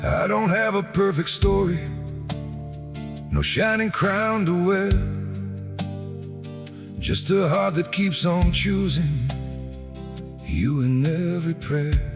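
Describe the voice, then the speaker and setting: A woman singing a sentimental ballad, drawn out and gentle in style. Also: on the low side, slow, long pauses, music in the background. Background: musical accompaniment.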